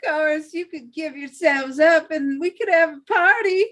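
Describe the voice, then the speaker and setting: A woman's voice: high-pitched, normal speed, fairly bright tone. A woman playing a manic male outlaw leader at a live table read, drawling gleeful taunts. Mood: gleeful taunting.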